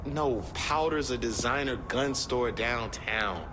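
An actor playing a cocky, crude gang lieutenant in a video game. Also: male voice, medium pitch, slow pace, background noise, natural voice. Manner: brash, swaggering, crude.

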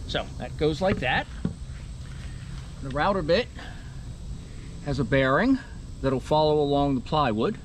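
A man walks through a woodworking job step by step, in plain words. His voice sits a little high. Faint outdoor noise runs behind him. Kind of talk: how-to narration.

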